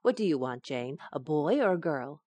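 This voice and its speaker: A woman's voice: sits low, sing-song, normal speed, a little mellow, no room sound. An actress playing a soothing, affectionate, motherly friend in an old-time radio sitcom. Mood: reassuring. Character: reassuring, motherly woman comforting her pregnant friend.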